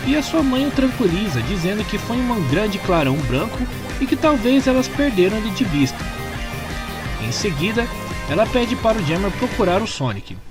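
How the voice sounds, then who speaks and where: A Brazilian man narrates a scripted story recap with an expressive delivery. His voice sits fairly high and swings widely in pitch. Guitar music plays clearly behind him. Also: moderate pace.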